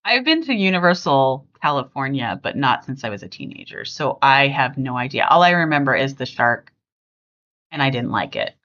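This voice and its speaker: A woman's voice: rumbling low, very animated, normal speed, fairly bright tone, highs cut off. A middle-aged American woman hosting a podcast in a casual, chatty, rambling way. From US West Coast. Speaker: podcast host.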